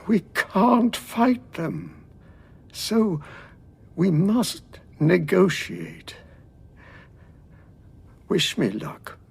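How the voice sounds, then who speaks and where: High, croaky alien elder. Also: male voice, wildly swooping pitch, very slow, long pauses, background noise, full character voice. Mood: resigned. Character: weary senior male member of an alien collective. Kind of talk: audio drama acting.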